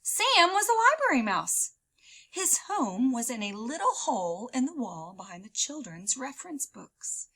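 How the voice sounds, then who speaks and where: A woman reading a storybook to children as a teacher, expressively, with dramatic, drawn-out emphasis. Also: sits high, very animated, pushed and bright, slightly rough. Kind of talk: animated children's storybook read-aloud.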